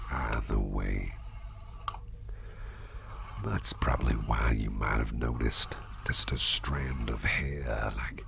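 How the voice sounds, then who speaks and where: A man playing a gentle, doting businessman boss in an ASMR roleplay, murmuring soothingly in a gravelly, breathy half-whisper. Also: extremely low pitch, noisy background, heavily acted. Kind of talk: ASMR roleplay, personal attention.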